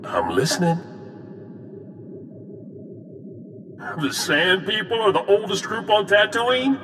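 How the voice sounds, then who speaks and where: An actor playing a sickly, chatty, wry patient who helps a Jedi in a video game. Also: male voice, high voice, normal speed, over music. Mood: eager, sceptical. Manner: wry, animated, joking. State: frequent coughing fits. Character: sickly, wry, chatty male patient, Jedi's eager helper.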